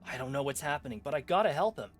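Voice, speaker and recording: An eager young hero talks quickly and hopefully, his voice lifted a little above plain speech, as a man reads him. Faint music hums in the background. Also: medium pitch, animated, pitched above the performer's own voice, natural voice.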